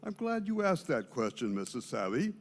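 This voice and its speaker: A man reading the part of a slick, flattering telephone salesman in an amateur comic skit, with smarmy, wordy sales patter. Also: on the high side, moderate pace. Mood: smooth-talking, pushy.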